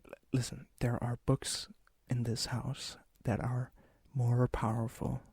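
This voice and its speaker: A man playing a lonely, gentle, melancholy vampire in an ASMR roleplay, speaking softly and hesitantly, often near a whisper. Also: medium pitch, completely flat delivery, husky, no room sound, studio quality, full character voice. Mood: cautioning, awkward. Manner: hesitant, wistful, halting. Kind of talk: ASMR roleplay, intimate acted monologue.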